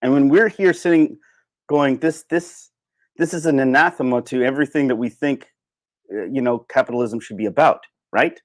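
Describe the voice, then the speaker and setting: A man's voice: medium pitch, moderate pace, no room sound. A man hosting a casual, opinionated livestream monologue, speaking informally and emphatically, repeating phrases to drive his points home. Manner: informal, with occasional swearing. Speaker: livestream host.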